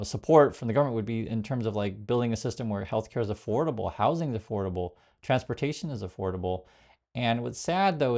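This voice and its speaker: A man, born in Vietnam, talks straight to his viewers in a loose, rambling way, full of fillers and restarts. His voice sits a little low and has a gravelly, fry-tinged edge. Now and then he slows down to read viewer comments aloud. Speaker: political commentary host. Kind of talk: casual direct-to-camera commentary.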